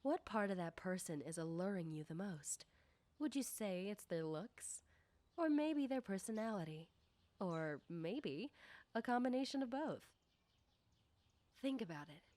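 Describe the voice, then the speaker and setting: Slow, coy popular schoolgirl, acted by a woman. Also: medium pitch, lively intonation, darkish tone, no echo, natural voice. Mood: teasing, probing. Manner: coy, probing, deliberate, often dropping into whispers. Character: nosy, teasing, self-assured popular high school girl. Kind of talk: ASMR roleplay, soft personal-attention talk.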